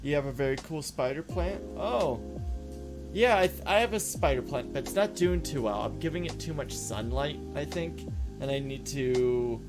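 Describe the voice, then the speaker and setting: A man's voice: fairly high pitch, animated, normal speed. A man streaming a game, rambling casually, answering chat and muttering to himself as he plays. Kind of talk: casual live-stream chat while playing. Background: background music.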